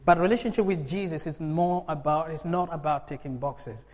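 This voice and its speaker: A man in his forties, from Uganda, preaching to a congregation. His fairly high voice climbs into emphatic, laughing bursts. It rings with the long reverb of a church hall. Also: normal speed, darkish tone. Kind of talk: sermon.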